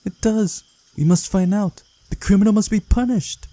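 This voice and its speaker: A man voicing an excitable, accusing young camp girl from a visual novel, insistent and indignant. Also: sits high, moderate pace, dry recording, voice pushed up, lightly acted. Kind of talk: visual novel character read-aloud.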